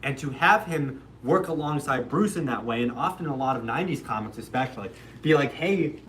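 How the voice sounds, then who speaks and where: A man's voice: mid-range voice, lively intonation, fairly quick, a bit bright, slight background noise. A young man chatting casually on a livestream, enthusiastic and talkative, swearing easily and acting out characters. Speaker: livestream co-host. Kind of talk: casual chat. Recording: echoey room, mic at a distance.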